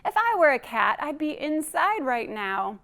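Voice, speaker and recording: A woman's voice: sits high, big pitch swings, unhurried, creaky, sharp tone, exaggerated voice. A woman voicing wishful storybook farm animals in singsong rhyme as she reads aloud to children. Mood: wistful. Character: wistful storybook farm animals longing to be different.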